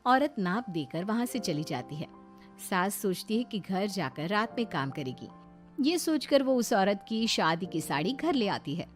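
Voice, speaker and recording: A woman's voice: medium pitch, sing-song, a little slow. A woman reading a scripted narration of a family moral story. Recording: faint background music.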